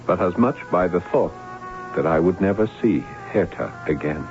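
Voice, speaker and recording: A man's voice: sits low, expressive, moderate pace. An actor playing a sorrowful, guilt-torn young nobleman, narrating solemnly in formal, literary words in an old radio drama. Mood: regretful. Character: sorrowful young nobleman, guilt-torn impostor recounting his doomed love. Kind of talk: old-time radio drama acting, first-person narration. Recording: dull vintage radio recording, music behind.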